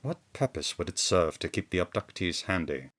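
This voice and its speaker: A man's voice: low voice, sing-song, moderate pace, a bit bright, heavily acted. A man playing a pompous, precise police inspector with a formal, easily exasperated manner in a comedy audio drama. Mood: dramatic alarm.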